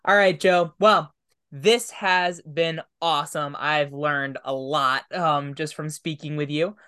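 A man's voice: on the high side, steady tone, takes it slowly, pushed and bright, clear, resonant tone. A man hosting a podcast interview in a casual, conversational style.

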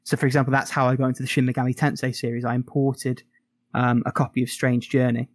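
A man's voice: mid-range voice, level tone, fast, dry recording. A British man with a mid-range voice, talking casually as a podcast co-host. The sound is dry, with hardly any room around it. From United Kingdom. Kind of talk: casual chat.

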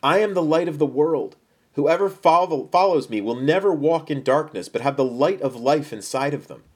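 A man voicing Jesus as a bold, authoritative teacher in his thirties, speaking with measured, solemn emphasis in a dramatized Bible story. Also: fairly high pitch, lively intonation, voice pushed up. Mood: earnest, emphatic.

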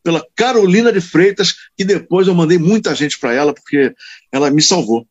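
A man's voice: fairly high pitch, moderate pace, dull audio. A man from Rio de Janeiro, a radio announcer, chatting animatedly with colleagues and stressing his points with tag questions. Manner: animated, emphatic storytelling with frequent tag questions. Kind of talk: casual chat among broadcasters.

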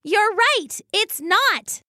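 An anxious, stubborn young weasel girl acted out by a woman reading a storybook, pitched very high and squeaking with dramatic indignation. She protests everything, in a close, dry recording. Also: animated, slow pace, pushed and bright, no room sound, exaggerated voice. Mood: defensive, upset.

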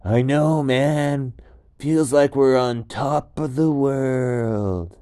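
Guy doing a chill little gecko dude for a kids story, higher voice, friendly, slow surfer talk. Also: warm tone, no room sound, studio quality. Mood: breezy. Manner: easygoing surfer-dude way of talking. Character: laid-back, friendly little green gecko on vacation.

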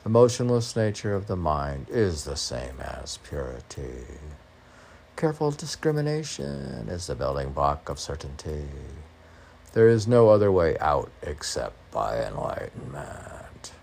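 A man's voice: extremely low pitch, big pitch swings, a little slow, gravelly, darkish tone, slight background noise. A man musing aloud in a rambling poetry reading, talking to himself as he presents his own poems. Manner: musing, talks to himself in questions. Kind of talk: rambling poetry reading with self-questioning commentary.

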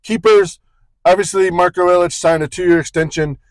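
A man's voice: sits high, fairly even intonation, normal speed, no echo. A man co-hosting a casual sports podcast, rambling through points with joking asides and frequent self-corrections. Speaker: podcast co-host.

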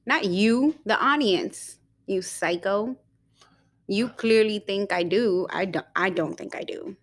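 A young woman co-hosting a casual podcast, talking in a rambling way with many fillers and quick giggles. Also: mid-range voice. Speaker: podcast co-host.

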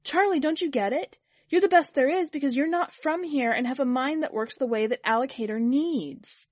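A woman's voice: sits high, sing-song, a little slow, dry recording, pitched above the performer's own voice, exaggerated voice. A woman voicing an anxious, stubborn character who blurts out emphatic protests in a story. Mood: alarmed protest.

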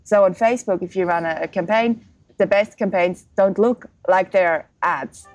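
A woman's voice: medium pitch, moderate pace, a little mellow, no room sound, lo-fi sound, soft background music. A woman in her thirties, originally from Switzerland, explaining her marketing business in an upbeat, easygoing podcast interview. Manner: upbeat, explanatory, laughs easily. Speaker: guest, marketing business co-founder.

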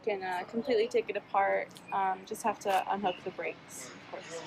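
A young woman, a graduating engineering student, explains her team's project in a casual interview, pausing often with ums. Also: medium pitch, gravelly, a little hiss or hum. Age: young adult.

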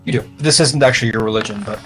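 A man's voice: lowish voice, very fast, fairly bright tone, low-quality recording. Male game master over guitar music. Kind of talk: improvised tabletop game narration. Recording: music playing under the voice. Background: plucked guitar music.

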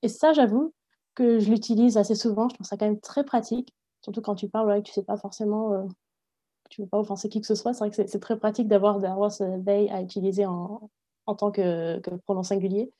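A woman's voice: mid-range voice, fairly even intonation, a little fast, warm tone. A young woman from France, a language teacher, talking frankly and reflectively in a casual interview, thinking aloud as she goes.

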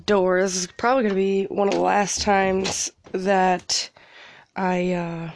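A woman's voice: mid-range voice, no echo, studio quality. A young woman with a congested cold, rambling casually as a podcast host from a small closet, laughing and swearing freely. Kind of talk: casual solo chat.

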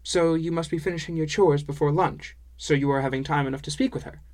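A man's voice: on the high side, fairly even intonation, fast, darkish tone, voice pushed up, lightly acted. A man voicing a kindly, frank, easily amused dwarf woman with quaint foreign-style phrasing in an audiobook. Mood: polite, friendly. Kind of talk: audiobook character dialogue.

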